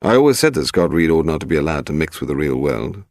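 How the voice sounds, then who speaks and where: A man voicing an urbane, unflappable English gentleman detective in a crime story, teasing and assured. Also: extremely low pitch, sing-song, quick pace, dry recording, studio quality, full character voice.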